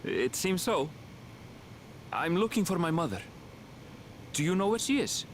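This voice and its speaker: Young game character, high voice, very animated, earnest and pleading. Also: male voice, a little slow, slight background noise. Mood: hopeful. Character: earnest young man searching for his lost mother.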